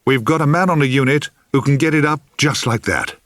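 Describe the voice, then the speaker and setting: A man's voice: mid-range voice, fairly quick, a bit bright, dry recording, clean studio sound, voice pushed up, natural voice. A man voicing a brash, impatient film production assistant in an audiobook, blunt and emphatic with hissing exasperation. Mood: boastful.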